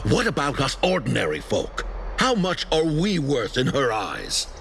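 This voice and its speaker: A man's voice: medium pitch, huge pitch swings, a little slow, vocal fry, a bit bright, a little hiss or hum, full character voice. A man playing a bitter, aggrieved councilman in a video game, sardonic and emphatic. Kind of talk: scripted video game drama acting.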